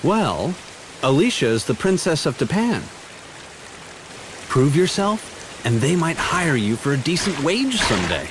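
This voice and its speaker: A man voicing a good-natured, easily flustered young adventurer in a video game, expressive and a little hesitant. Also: on the high side, a little slow, pauses often. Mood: teasing. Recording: rain noise behind voice.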